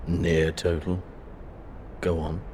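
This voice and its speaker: An actor playing a cold, arrogant imperial prince in a game cutscene, theatrical and deliberate, relishing the hunt. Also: male voice, sits low, little pitch movement, a little hiss or hum. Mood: cold, menacing. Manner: theatrical, deliberate, swinging from quiet menace to outburst. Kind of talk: dramatic video game cutscene acting. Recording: music behind the voice.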